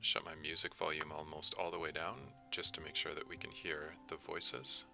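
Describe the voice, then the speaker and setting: A man talking casually as he hosts a screen-recorded software tutorial. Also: a bass voice, level tone, moderate pace, gravelly, music in the background. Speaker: tutorial host, game developer.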